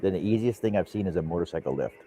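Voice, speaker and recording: Deep voiced guy co-hosting, stumbles and repeats words, bit of hiss behind. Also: lively intonation, racing, dark tone. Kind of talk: casual chat.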